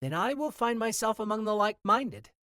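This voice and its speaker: A man's voice: high voice, animated, brisk, bright, forceful tone, no echo, studio quality, pitched above the performer's own voice. A man voicing a curious, spirited young noblewoman with a lightly raised voice. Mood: wondering.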